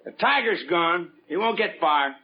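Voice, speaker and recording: Wry male adventurer, dry sarcasm, animated pitch, muffled vintage radio recording. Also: a little slow, breathy edge, full character voice. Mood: alarmed, rueful. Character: wry stranded time-travelling adventurer, matter-of-fact under pressure. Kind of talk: 1950s radio drama acting. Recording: noisy, band-limited 1950s radio recording.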